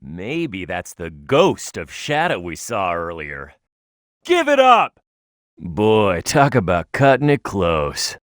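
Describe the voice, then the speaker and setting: A tough young male echidna hero from a video game, cocky and quick to flare up. The pitch swings very widely in an emphatic delivery. The recording is close and dry, with no room sound. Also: huge pitch swings, dry recording, exaggerated voice. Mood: wary, grumbling, then excited, urgent, then cocky, relieved. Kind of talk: video game character voice lines, tutorial hints and battle shouts.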